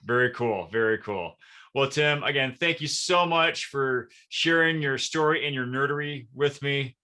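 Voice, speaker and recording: A man's voice: medium pitch, measured pace, pushed and bright. A man hosting a conversational podcast interview in an earnest, encouraging manner. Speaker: podcast host.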